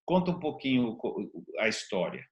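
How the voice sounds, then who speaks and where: A man's voice: on the low side, wildly swooping pitch, moderate pace, echoey room. A man with a Brazilian Portuguese accent, asking informal, colloquial questions as an interview host.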